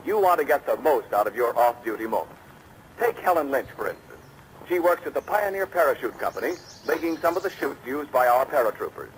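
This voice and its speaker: Male American announcer, rather high voice, polished sales read, 1940s radio, faint noise. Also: a bit bright, rough recording. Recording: old radio broadcast, rain overlaid.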